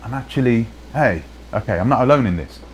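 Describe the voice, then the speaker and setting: A man's voice: mid-range voice, lively intonation, a little fast. A man talking casually in a rambling way, often restarting his sentences, with faint noise behind him. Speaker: channel host in played clips. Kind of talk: casual live-stream talk.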